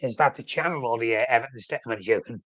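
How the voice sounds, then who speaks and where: A man's voice: mid-range voice, racing, a bit bright, no room sound. A man with a flu, sounding snuffly, hosting a casual live-stream chat about his football club and rambling with frequent self-corrections. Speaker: fan channel host.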